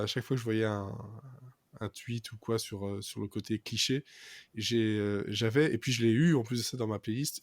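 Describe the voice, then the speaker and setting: A man's voice: lowish voice, dry recording. A man co-hosting a casual culture podcast, rambling and hesitant, full of fillers. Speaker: podcast co-host.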